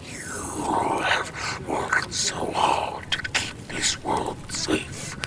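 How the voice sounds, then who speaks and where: A man playing a vile, manipulative evil creature in a fantasy audio drama, speaking grandly in a breathy, hissing whisper. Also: drawn-out words, pushed and bright. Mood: coaxing, insidious. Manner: insinuating, grandiose villain speech.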